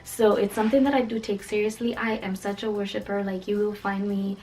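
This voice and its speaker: A 29-year-old woman from Kenya chatting casually as a vlogger, in a playful, emphatic and animated conversational way. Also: medium pitch, fairly quick, slight background noise, rough recording.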